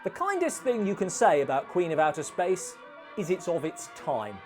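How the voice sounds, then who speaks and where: A woman's voice: fairly low pitch, very animated, normal speed, a little mellow, soft background music. A woman narrating a scripted film review in a dry, sarcastic manner.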